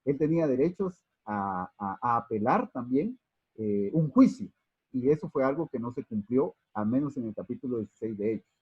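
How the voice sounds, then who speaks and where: A man's voice: mid-range voice, animated, normal speed, slightly rough, dry recording, lo-fi sound. A man from Guatemala, teaching an unscripted Bible study class with many fillers and restarts and calling his listeners brothers.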